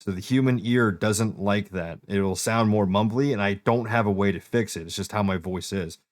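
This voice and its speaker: A man with a deep voice talks a friend through settings in a relaxed, plain way, with plenty of fillers. His tone sits low. The sound is dry, with no room around it. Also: normal speed, no room sound. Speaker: streamer giving setup help. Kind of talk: casual walkthrough.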